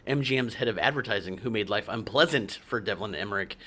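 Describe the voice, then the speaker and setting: A man's voice: on the low side, fast talker, sharp tone. A man co-hosting a movie podcast, reading out actor trivia in a chatty way with many fillers. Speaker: podcast co-host. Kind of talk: casual chat about movies.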